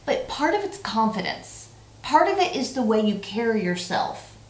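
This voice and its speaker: A woman in her forties co-hosts a casual vlog in a roomy, echoing space. Her voice sits fairly high. She talks playfully and leans on repetition for emphasis. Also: big pitch swings, normal speed. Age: 40s. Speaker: vlog co-host. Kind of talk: casual chat, answering tag questions. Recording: roomy, echoey sound.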